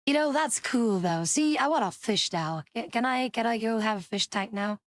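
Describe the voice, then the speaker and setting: A woman's voice: a little high, animated, moderate pace, no room sound. A woman from Belgium, chatting casually as a streamer reacting to clips, rambling with lots of fillers.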